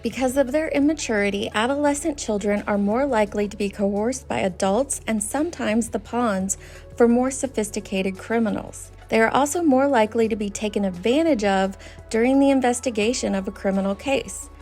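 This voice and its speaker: A woman's voice: a little high, normal speed, darkish tone, soft background music. A woman hosting a commentary channel, reading a scripted voice-over in an earnest, critical way. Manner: reads from notes, critical and earnest.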